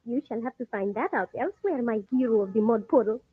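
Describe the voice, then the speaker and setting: A proud young señorita in an old radio drama, prim yet coquettish. Her voice is high and very lively in pitch. The dry recording is thin, almost like a phone line. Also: fast talker, breathy edge, no echo. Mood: coy.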